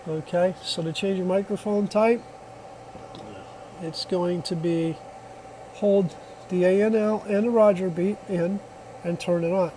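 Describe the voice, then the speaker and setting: Higher-pitched man giving a casual gadget demo. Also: steady tone, unhurried, long pauses, warm tone, slight background noise, poor audio. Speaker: product demonstrator. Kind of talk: casual hands-on product demo. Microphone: phone recording.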